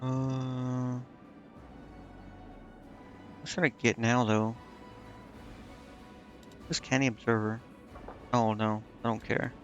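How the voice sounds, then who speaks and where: A man's voice: medium pitch, little pitch movement, slow pace, pauses often, a bit bright, lo-fi sound, soft background music. A man streaming a video game, thinking aloud in casual commentary and muttering indecisively to himself.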